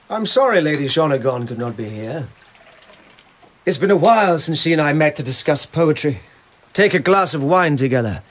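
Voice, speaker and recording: An actor playing a proud, cultured court lord in a radio drama, speaking in a formal, restrained and disdainful way. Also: male voice, a little high.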